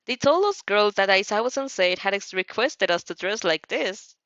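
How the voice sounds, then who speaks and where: A woman's voice: medium pitch, lively intonation, moderate pace, a bit bright, no room sound, muffled recording, lightly acted. A woman voicing a cheery, playful teenage schoolgirl with a bubbly, mock-innocent manner in a fan audio story.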